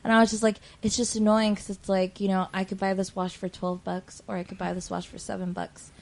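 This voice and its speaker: A woman's voice: mid-range voice, flat delivery, a little fast, gravelly, darkish tone. A woman in casual podcast chat, talking frankly and swearing freely as a co-host.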